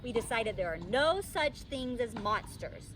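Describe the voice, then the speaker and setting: A woman's voice: a little high, very animated, unhurried. A woman voicing a patient, weary, no-nonsense grandmother in a storybook reading, firm and reasoning, recorded outdoors in a park.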